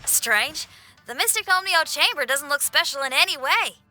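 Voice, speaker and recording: Bright, breezy young game musician. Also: female voice, high voice, expressive, moderate pace, soft background music, exaggerated voice. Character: confident free-spirited young female musician. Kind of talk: video game voice acting.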